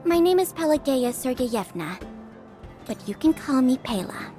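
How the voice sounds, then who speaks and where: A woman's voice: high voice, very animated, moderate pace, over loud music. A woman voicing a prim young military officer in a video game, giving an instructive tour speech with polite sternness. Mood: earnest, instructive.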